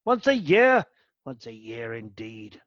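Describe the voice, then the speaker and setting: An actor playing a cold, miserly elderly businessman in a radio play, gruff, grudging and dismissive. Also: medium pitch, big pitch swings, takes it slowly, a bit bright, dry recording, highs cut off.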